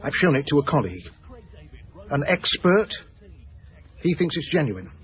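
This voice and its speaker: An actor playing a polite, rueful small-time art dealer in a radio drama, speaking in a careful, well-spoken way. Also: male voice, fairly high pitch, big pitch swings, moderate pace. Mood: smooth, persuasive. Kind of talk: radio drama dialogue and narration. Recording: slightly dull radio broadcast sound.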